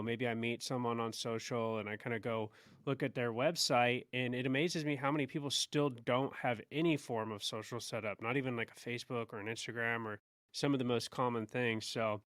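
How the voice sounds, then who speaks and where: A man co-hosts a podcast and opens it, earnestly urging listeners to take notes. His voice is smooth and resonant at a middle pitch. The sound is dry and close. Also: fairly bright tone, no room sound. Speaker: podcast co-host. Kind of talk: podcast intro remarks.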